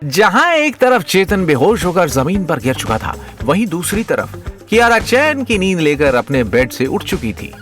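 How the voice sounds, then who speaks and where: A man narrates a comic story with theatrical flair. His voice sits fairly high and moves around a lot, over a light music bed. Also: sing-song, moderate pace, fairly bright tone. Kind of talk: dramatic comic scripted narration.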